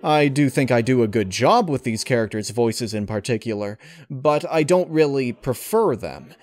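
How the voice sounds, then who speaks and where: A man answering interview questions in a thoughtful, articulate way, with formal and deliberate wording. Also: medium pitch, lively intonation, a little mellow. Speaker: channel host and audio story reader being interviewed.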